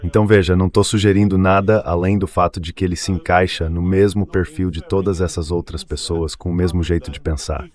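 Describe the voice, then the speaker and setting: Deep-voiced synthetic man in political interview. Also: fairly even intonation, brisk, hardly any pauses, a little mellow. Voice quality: synthetic text-to-speech voice. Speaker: AI dub voice translating an interview. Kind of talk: dubbed political interview, conversational.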